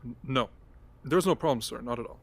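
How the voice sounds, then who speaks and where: A man's voice: medium pitch, a little fast, vocal fry, lightly acted. A man playing a polite, genial but guarded official in an amateur radio drama, with a courtly manner. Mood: uneasy. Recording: music behind.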